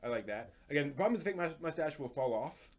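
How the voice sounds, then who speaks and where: A man hosting a live stream in a casual, chatty way, often halting and repeating words as he thinks aloud. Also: a little high, animated.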